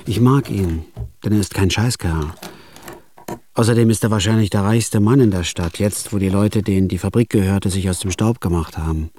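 A man's voice: on the low side, normal speed, noisy background, close to an everyday voice. An actor playing a bitter, out-of-work gambler who narrates his own story in a radio drama. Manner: bitter, blunt, crude.

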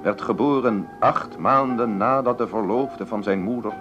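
Acteur als plechtige documentaireverteller met muziek erachter. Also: male voice, lowish voice, normal speed, lightly acted. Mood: solemn. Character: formal male narrator of a political biography tape. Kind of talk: scripted documentary narration inside a radio play. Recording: dull tape with music behind.

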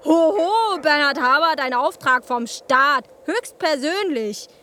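A hot-tempered young woman in a radio play. Her voice sits high and her delivery is blunt and colloquial, with plenty of scorn and sarcasm. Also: animated, takes it slowly, bright, forceful tone, heavily acted. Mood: mocking, scornful. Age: young adult. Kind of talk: radio drama dialogue.

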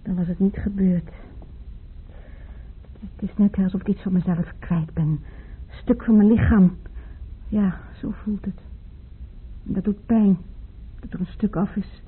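An actress playing a wistful, fragile young woman in grief, reminiscing haltingly in a Dutch radio play. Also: mid-range voice, fairly even intonation, slight background noise, lightly acted. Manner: halting, reminiscing, repeats herself. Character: grieving young woman, Maria, wistful, fragile, tearful, in love. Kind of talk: Dutch radio play acting, intimate monologue. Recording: muffled, phone-like old radio recording.

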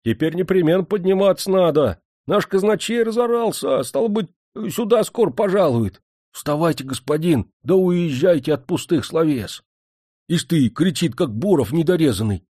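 A man acts out a cheeky peasant manservant from a historical novel. The voice is folksy and mocking, pushed high and loud, with big swings in pitch. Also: animated, moderate pace, no room sound, studio quality. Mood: loud, urgent.

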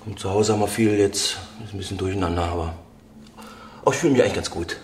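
A man's voice: low-pitched, steady tone, quick pace, darkish tone, slight background noise, natural voice. A man playing a naive, romantic banker in a radio play, speaking earnestly in a natural, slightly rambling way. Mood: tired, evasive. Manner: rambling, repeats himself, earnest. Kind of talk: naturalistic radio drama acting.

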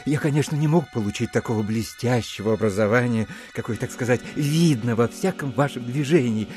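An actor playing a flustered, deferential provincial landowner in an audio drama, stammering and apologizing in ornate, sugary phrases. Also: male voice, medium pitch, fairly quick, a little mellow, soft background music, lightly acted. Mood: bewildered, apologetic. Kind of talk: theatrical radio-play acting.

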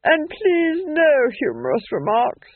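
A man's voice: extremely high pitch, huge pitch swings, slow, no room sound, narrow, tinny audio, voice pushed up, exaggerated voice. A man voicing a cold, cruel Duchess in a storybook reading, commanding and scornful. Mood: coldly menacing.

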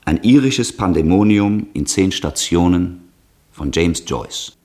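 A man narrating a 1960s German radio drama introduction in a formal, solemn, measured, declamatory way. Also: low-pitched, expressive, a little mellow. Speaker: announcer and narrator.

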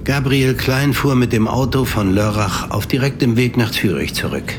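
A man's voice: medium pitch, normal speed, noisy background. A man narrating an audio drama from a script in a composed, matter-of-fact manner.

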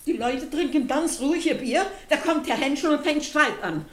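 Radio drama, distant with faint noise: female actor as scornful excitable woman, swooping high voice. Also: normal speed, echoey room, close to an everyday voice. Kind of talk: German radio drama acting.

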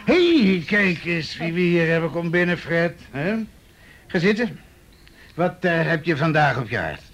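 An actor playing a weary, wry, middle-aged police officer in a Dutch radio play, dry and hesitant in manner. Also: male voice, on the high side, very animated, unhurried, a bit bright. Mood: jovial. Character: weary, wry older male police officer in youth affairs.

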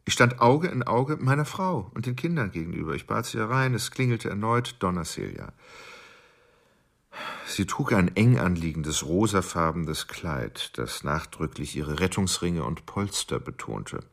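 A man's voice: sits low, big pitch swings, measured pace, gravelly, full character voice. An actor in a German radio drama playing a cold, irritable married man with murderous urges, narrating with sardonic self-absorption. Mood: smug, contemptuous.

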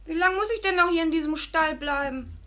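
Shrill, cheeky little girl. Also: fairly quick, pushed and bright. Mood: cheeky, bored. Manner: pert, defiant back-talk. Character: cheeky bratty little girl, kidnapped child film star. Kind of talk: 1950s German radio play acting. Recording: noisy 1950s radio recording.

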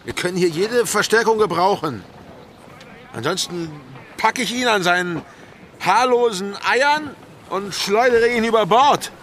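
An actor playing a languid, opium-dazed pirate captain in an audio drama, drawling in a teasing, careless way. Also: male voice, high-pitched, expressive, measured pace, fairly bright tone, slight background noise. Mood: irritated.

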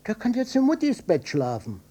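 An older man playing a stubborn, defensive widowed father in a radio drama, blunt and colloquial, with a gravelly, husky voice. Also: high voice, huge pitch swings, moderate pace, dark tone, exaggerated voice. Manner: blunt, colloquial, swinging between offhand and touchy. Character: gruff widowed old father, stubborn, defensive, matter-of-fact.

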